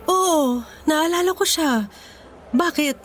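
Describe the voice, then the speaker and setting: A woman's voice: high-pitched, takes it slowly. A woman acting in a Filipino radio drama as a forgiving, grateful older sister, speaking in an earnest, sentimental way. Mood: concerned. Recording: music behind the voice.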